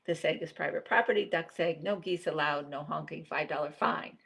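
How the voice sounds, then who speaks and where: A woman's voice: sits low, moderate pace, vocal fry, a bit bright, slightly rough, heavily acted. A woman voicing a fussy, possessive and boastful duck in a picture book read-aloud, scolding with prim, bossy wording. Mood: proud, possessive.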